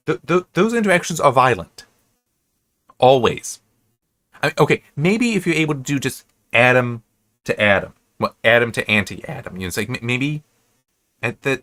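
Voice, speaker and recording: An American man giving casual, unscripted commentary as a reviewer, halting and correcting himself as he rambles. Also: mid-range voice, fairly bright tone, dry recording. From United States. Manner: halting, self-correcting, restarts and digresses often. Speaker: reviewer hosting an episode-by-episode analysis.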